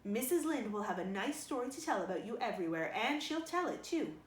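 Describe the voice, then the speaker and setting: A stern, upright middle-aged guardian from a storybook, voiced by a woman reader. She scolds in a measured, firm way with strongly moving intonation. The recording is roomy, with slight noise. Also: on the high side, animated, close to an everyday voice. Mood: stern, scolding. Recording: roomy sound with faint noise.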